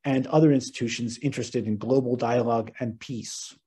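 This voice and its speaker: A middle-aged American man opens a webinar, speaking in an earnest, measured tone with the odd "um" and restart. His voice is husky and mellow, and it comes through a video-call microphone. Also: medium pitch, steady tone. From United States. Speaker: webinar host and moderator. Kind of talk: formal opening remarks.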